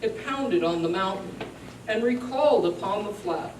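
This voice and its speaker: A woman's voice: mid-range voice, animated. Theatrical woman reciting verse in an echoey room. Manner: theatrical, emphatic, deliberate storytelling delivery. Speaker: performer giving a dramatic reading. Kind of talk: dramatic live poetry recitation. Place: roomy indoor venue, recorded at a distance. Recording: echoey, noisy live room, distant mic.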